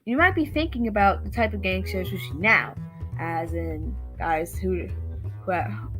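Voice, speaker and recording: A woman giving an informal introduction as a presenter, halting as she searches for words. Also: medium pitch, fairly bright tone. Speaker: student presenter introducing a play.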